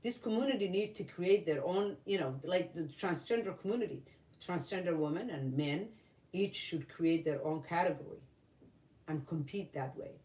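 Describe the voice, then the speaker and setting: Woman vlogger, lowish voice, hesitant and earnest, roomy off-mic sound. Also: lively intonation, mellow, distant mic. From Somalia. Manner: earnest, halting, many fillers and restarts. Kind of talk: opinion commentary.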